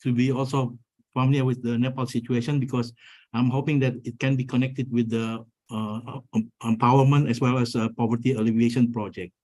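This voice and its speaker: A man from Indonesia asking a question in an online webinar, hesitant and full of pauses. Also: medium pitch, little pitch movement, darkish tone, rough recording. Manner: hesitant, many fillers. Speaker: audience member asking a question.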